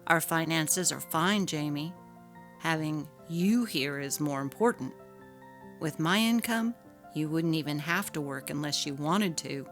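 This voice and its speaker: A woman's voice: low-pitched, a little slow. A woman voicing a patient, neglected husband who pleads earnestly in a dramatic story reading. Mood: pleading. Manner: earnest, gently reproachful. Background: faint music.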